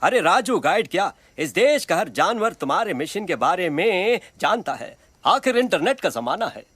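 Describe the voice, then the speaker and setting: A man's voice: high-pitched, sing-song, moderate pace, fairly bright tone, breathy edge, dry recording, heavily acted. A man voicing a glib, friendly animal tour guide in a cartoon film, talking in theatrical, rhyming patter. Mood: showy, glib.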